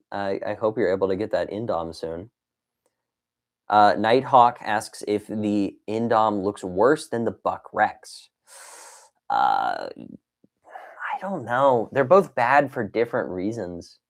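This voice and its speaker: A man's voice: lowish voice, animated, slow pace, pauses often. A man hosting a casual livestream, chatting playfully with viewers, reading messages aloud and wandering into exclamations and asides. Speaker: livestream host of a toy dinosaur collecting channel.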